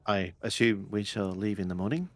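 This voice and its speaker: A man's voice: on the low side, fairly even intonation, moderate pace, no room sound, natural voice. A man playing a polite, cautious samurai retainer in a tabletop roleplay, speaking formally and with hesitation.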